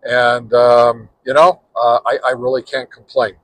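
An older man in his sixties hosts a laid-back radio-testing vlog. His voice sits at an ordinary middle pitch, and he talks in a relaxed, halting way, pausing and restarting often. Between chats he counts off test calls in a steady rhythm. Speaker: ham radio channel host.

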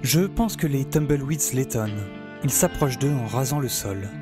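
An excitable young man played by a male actor narrates his post-apocalyptic audio saga. He is wordy and self-mocking, with very lively swings of pitch. Loud music runs underneath. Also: normal speed, natural voice. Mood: awed, wry. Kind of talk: dramatised first-person audio saga narration.

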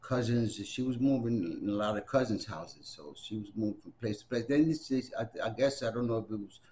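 A man in his early sixties with a low voice, speaking at table distance in a library room with a faint hiss behind him. He talks in a loose, rambling way, full of "um" and "you know", and often stops to restart a sentence. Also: brisk, mellow. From Puerto Rico, raised in the Bronx. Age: around 60. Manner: rambling, many fillers and restarts. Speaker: interviewee. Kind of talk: oral history interview.

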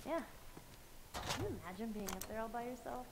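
A woman playing a chatty, eager, teasing teenage girl survivor in a video game, with loud noise around the dialogue. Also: a little high, expressive, brisk. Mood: wistful, curious. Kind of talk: naturalistic video game acting.

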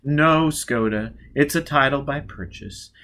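A man voicing a witty upstart playboy, suave and flippant, charming high society in a novel reading. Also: unhurried, sharp tone. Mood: breezy.

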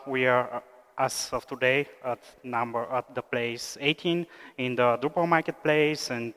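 A man in his thirties from Bulgaria, giving a technical conference talk with many "uh" fillers in a steady explanatory pace. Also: medium pitch. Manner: frequent "uh" fillers, measured explanatory delivery. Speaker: conference speaker, software engineer. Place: large conference hall, at a lectern stage. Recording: smooth voice with long hall reverb.

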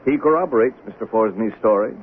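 Actor as skeptical police sergeant, tinny radio sound. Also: male voice, fairly low pitch, fast talker, natural voice. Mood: skeptical, insistent. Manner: pressing, probing, insistent. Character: skeptical, relentless male police sergeant interrogating suspects. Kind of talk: 1970s radio drama acting. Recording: noisy, tinny 1970s radio broadcast.

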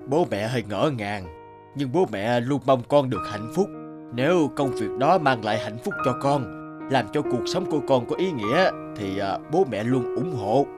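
A man's voice: mid-range voice, animated, normal speed, music in the background, close to an everyday voice. A man voicing a caring, easily surprised middle-aged father in a cartoon, kindly and hesitant, with a Northern Vietnamese accent. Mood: accepting. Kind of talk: animated cartoon dialogue.

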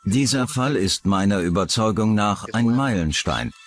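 Low-pitched man giving documentary commentary. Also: lively intonation, normal speed, soft background music. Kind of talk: voice-over of interview remarks.

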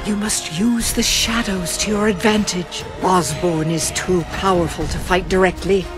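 A man's voice: high voice, fairly even intonation, measured pace, over music. A man playing an urgent guide who gives instructions to a video game hero.

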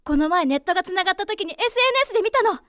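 Hopeful teen girl, super high gentle voice, really animated, radio drama. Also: rapid-fire, dry recording, clean studio sound. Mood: encouraging. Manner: girlish, gentle, expressive. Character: supportive, hopeful teenage girl, boy's close friend.

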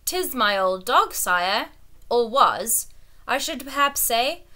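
A woman's voice: fairly high pitch, animated, slow, pushed and bright, pitched above the performer's own voice, exaggerated voice. A woman doing a tearful old shepherd. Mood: pleading. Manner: rustic country dialect, wheezing. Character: poor old shepherd man, tearful, humble.

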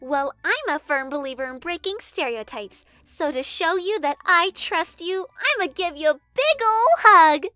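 Casting: tiny cartoon girl kitten, very high, bright, childlike, naive and eager. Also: a little slow, few pauses, dry recording, faint music behind, heavily acted. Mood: eager, cheerful. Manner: childlike, earnest, excitable, wide-eyed. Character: naive, eager, cheerful little female kitten. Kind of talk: animated comic dub acting.

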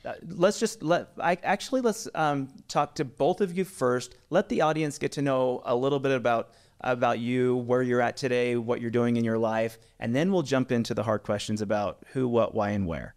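Upbeat podcast host, studio mic. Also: male voice, mid-range voice, normal speed, hardly any pauses. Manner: upbeat, with frequent "uh" fillers and restarts. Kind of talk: casual podcast interview. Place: small studio with brick wall, indoors. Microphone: studio mic on boom arm.

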